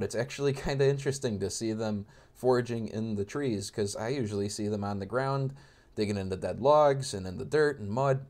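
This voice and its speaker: A man's voice: mid-range voice, darkish tone. A young man giving informal, joking, educational commentary over wildlife footage, with an enthusiastic manner. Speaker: amateur birder narrating wildlife footage.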